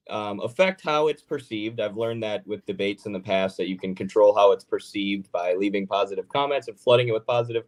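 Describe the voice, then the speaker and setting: Low-voiced man casually urging viewers. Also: darkish tone. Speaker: debate participant. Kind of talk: casual live-stream chat.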